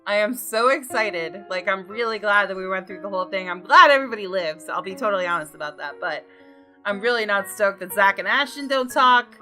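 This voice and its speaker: A woman's voice: mid-range voice, animated, a little fast, pushed and bright. A young woman hosting a gaming channel, chatting informally to her viewers during a let's play. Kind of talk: casual let's play commentary with some read-aloud visual novel narration. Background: game music.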